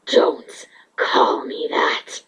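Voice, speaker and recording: A woman's voice: drawn-out words, a bit bright, in a whisper. A woman voicing a proud, defeated changeling queen in a cartoon pony fan fiction drama, mocking, weary and bitter. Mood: hostile, hushed. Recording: echoey, dull, distant from the mic.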